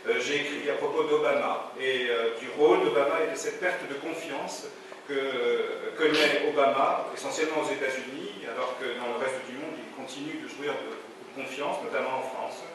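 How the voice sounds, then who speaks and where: A man's voice: mid-range voice, normal speed. A man working as an interpreter, rendering a speaker's remarks into French in fluent, flowing sentences. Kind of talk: consecutive interpretation. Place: conference hall. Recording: echoey hall from far off, background noise.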